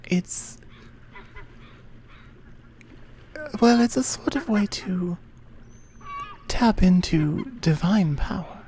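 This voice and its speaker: A woman playing a regal, patient Amazonian queen, proud and wary of humans, in an intimate ASMR roleplay with measured, breathy half-whispers. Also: lowish voice, mellow, a little hiss or hum. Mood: gentle, explanatory.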